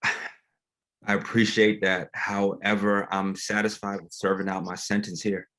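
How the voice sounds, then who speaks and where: Composed male pastor, low even voice, acted by a man. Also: measured pace, poor audio. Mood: polite, resolute. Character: composed, resolute wrongfully convicted male pastor. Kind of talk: naturalistic script table read.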